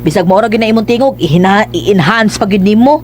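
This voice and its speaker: A woman playing a nagging, sarcastic wife in a Cebuano radio drama, with an expressive, scolding and teasing delivery. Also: mid-range voice, fast talker, a little hiss or hum, natural voice. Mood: exasperated scolding. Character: nagging, sarcastic Filipino wife of a cowardly policeman.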